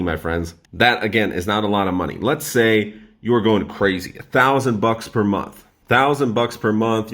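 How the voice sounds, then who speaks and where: A young man in his twenties with a lowish voice, energetic and animated as he speaks straight to his viewers. He talks in a casual, upbeat explainer style, pushing the listener along. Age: 20s. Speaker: personal finance YouTuber.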